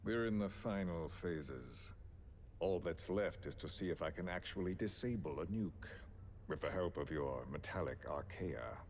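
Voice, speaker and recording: A very deep, slow menacing villain. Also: male voice, lively intonation, a little mellow, a little hiss or hum. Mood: smug, persuasive. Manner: slow, deliberate, condescending, theatrical. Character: menacing, philosophical male villain coercing a captive. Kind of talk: dramatic video game voice acting.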